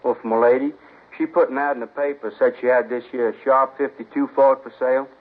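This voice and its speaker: A man's voice: medium pitch, steady tone, fairly quick, lightly acted. A man playing a nervous, evasive young suspect from New Orleans, speaking with a hesitant Southern drawl in a 1950s radio drama. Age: young adult. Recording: old lo-fi radio broadcast, husky.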